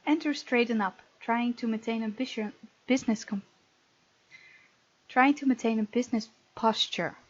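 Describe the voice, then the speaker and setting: A woman reads game text aloud in a fairly high voice, switching between narration and casual, playful reactions. She is close to the microphone in a dry space. The sound is a little dull, like a home recording. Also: normal speed, pauses often, dry recording. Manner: playful, reactive, breaks off to comment and giggle. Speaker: gaming video host.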